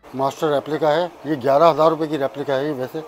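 A quick, slightly high-voiced man with a Karachi Urdu accent runs through items and prices in a matter-of-fact way. He is a shopkeeper speaking in his shop. Faint buzzing noise sits under his voice. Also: steady tone. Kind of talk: explaining goods to a vlogger.

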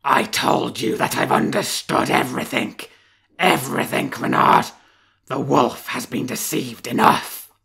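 A man doing a gravelly raging wolf. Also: medium pitch, unhurried, heavily acted. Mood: threatening. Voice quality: gravelly, shouting. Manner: bellowing threats, drawn out. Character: huge furious grey male wolf. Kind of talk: storybook reading.

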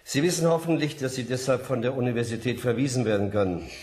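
A man's voice: mid-range voice, fast talker, a little mellow, lightly acted. A man acting a stern university academic in a radio drama, formal and curt. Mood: stern, cold.

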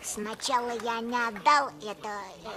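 A woman's voice: on the high side, normal speed, sharp tone. A woman voicing a naive, bewildered little boy in a cartoon, hesitant and plaintive. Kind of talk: animated film acting.

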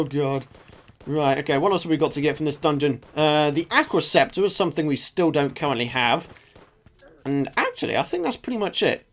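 A man with a sore throat commenting casually on his own gameplay, chatty and self-mocking, muttering asides to the game. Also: sits high. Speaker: gaming channel host. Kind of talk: casual let's play commentary.

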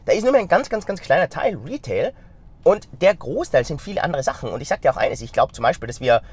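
A middle-aged man with a slight stuffy cold, talking straight to camera from inside a car, energetic and gesturing as he goes. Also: a little high, fast talker, breathy edge. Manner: energetic, gesturing with his hands, talks straight to the viewer. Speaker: vlogger and company founder. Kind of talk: casual talk to camera. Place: inside a car in city traffic. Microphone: phone camera mounted in the car.

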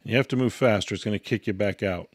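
A man's voice: fairly low pitch, quick pace, no echo, studio quality. A man giving an instructional product walkthrough in a matter-of-fact, step-by-step way. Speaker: product reviewer and demonstrator.

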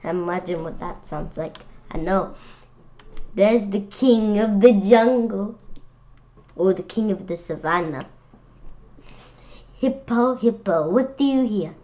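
A woman reading a picture book aloud for children in an expressive, playful way, sometimes halting on words. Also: medium pitch. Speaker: children's read-aloud host. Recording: dull, lo-fi sound.

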